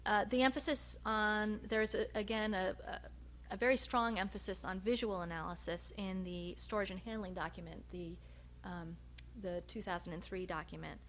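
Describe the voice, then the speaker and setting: A woman researcher giving a research presentation, speaking in a measured, hesitant way with frequent pauses. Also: mid-range voice, steady tone, fairly bright tone. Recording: noticeable background hum and noise.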